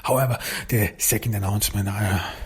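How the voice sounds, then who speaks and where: A man whose first language is German, hosting his own podcast as an author and talking casually on his own, in a halting way with many restarts. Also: on the low side, fairly even intonation, breathy edge. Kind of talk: casual solo podcast monologue.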